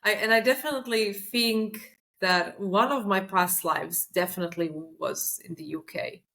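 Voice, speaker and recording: A young woman from Croatia, whose first language is Croatian, chats on a podcast. She tells her stories with animated energy, often restarting sentences and breaking into laughs along the way. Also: mid-range voice, moderate pace, gravelly. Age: young adult. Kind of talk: casual podcast chat.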